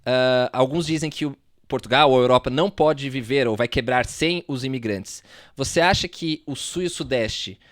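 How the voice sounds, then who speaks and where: Man, podcast host, average pitch, halting roundabout questions, conversational, dry studio-like sound. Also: dry recording. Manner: hesitant, roundabout phrasing.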